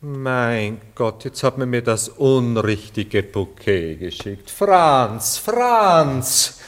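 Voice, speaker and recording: Ein Schauspieler spielt in einem deutschen Hörspiel einen ausweichenden, gereizten Herrn und Liebhaber, theatralisch beschwichtigend. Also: male voice, mid-range voice, very animated, drawn-out words. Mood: flustered, impatient. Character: evasive, irritable gentleman lover, a dithering bachelor. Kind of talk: German radio play stage-comedy acting.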